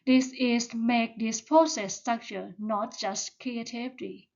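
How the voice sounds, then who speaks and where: A woman's voice: a little high, fairly even intonation, gravelly, darkish tone, highs cut off. A woman whose first language is Thai, giving a careful step-by-step presentation as a student. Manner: slow, careful, step-by-step delivery.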